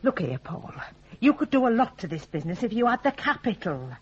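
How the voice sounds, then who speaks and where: An actress playing a scheming middle-aged mother in a radio drama, brisk and nagging, with a Northern English accent. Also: fairly high pitch, very animated, noisy background. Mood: scheming, bossy. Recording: dull 1980s radio broadcast recording.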